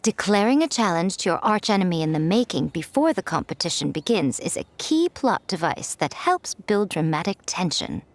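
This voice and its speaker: A woman voicing a sly, playful adult editor who teases others in a smooth, amused manner in a video game. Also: fairly low pitch, big pitch swings, moderate pace, few pauses, no room sound. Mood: teasing. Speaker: light novel editor.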